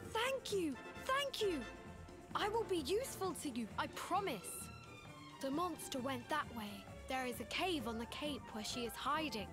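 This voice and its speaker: A woman's voice: high-pitched, animated, moderate pace. A woman voicing an earnest, wounded but eager young village boy in a video game, speaking plaintively. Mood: grateful, eager. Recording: game music clearly under the voice.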